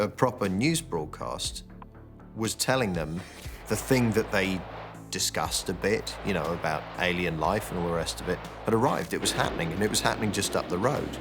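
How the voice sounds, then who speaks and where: Documentary commentator: British man, very low voice, chatty and explanatory, prominent music behind. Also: animated, moderate pace, a bit bright. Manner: colloquial, explanatory. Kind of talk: conversational interview.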